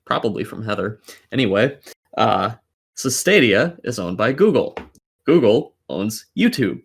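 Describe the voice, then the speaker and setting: Guy podcast host, lowish voice, swears a lot, argues his take bluntly. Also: very animated, measured pace, creaky, slight background noise. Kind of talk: casual opinionated podcast commentary.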